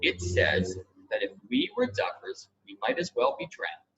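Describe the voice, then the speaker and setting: A man's voice: mid-range voice, wildly swooping pitch, measured pace, gravelly, sharp tone, muffled recording, a little hiss or hum, exaggerated voice. A man reading the part of an earnest, confident young girl in a children's storybook. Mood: earnest, explaining.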